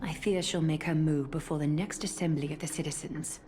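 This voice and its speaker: Woman acting regal, low-voiced commanding ruler. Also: steady tone, close to an everyday voice. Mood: grave. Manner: formal, measured, authoritative. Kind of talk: dramatic game voice acting. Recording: music behind the voice.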